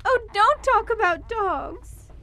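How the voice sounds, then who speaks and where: A woman's voice: very high voice, expressive, slow, pushed and bright, slight background noise, full character voice. An actress playing a fretful, fearful young noblewoman, pleading and melodramatic, in a suspense audio drama. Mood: distraught pleading. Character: anxious, fearful young noblewoman, niece of a count.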